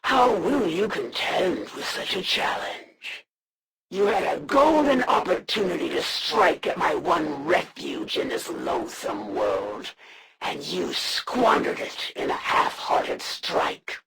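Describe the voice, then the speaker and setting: Slow, haughty, gravelly alien assassin. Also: female voice, lowish voice, expressive, background noise, exaggerated voice. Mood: disdainful. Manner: formal, haughty, deliberate, threatening. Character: proud alien assassin warrior, female, menacing, honor-bound, disdainful. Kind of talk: scripted video game villain voice acting.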